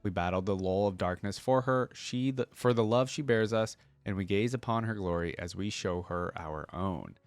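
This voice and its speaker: Devout worshipper read by a man, reverent fantasy hymn recitation, low-pitched, dry recording. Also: moderate pace, hardly any pauses, no room sound, close to an everyday voice.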